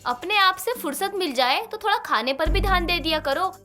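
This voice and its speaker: A woman voicing a stern, sarcastic old Indian mother-in-law who scolds and bosses in an animated moral story. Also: very high voice, brisk, exaggerated voice. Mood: scolding. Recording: music bed behind the voice.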